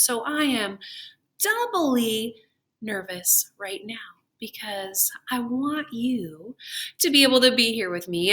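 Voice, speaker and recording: A woman teacher reading aloud and talking deliberately to young children. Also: a little high, bright, forceful tone. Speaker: elementary school teacher. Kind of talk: expressive read-aloud and talk to young pupils.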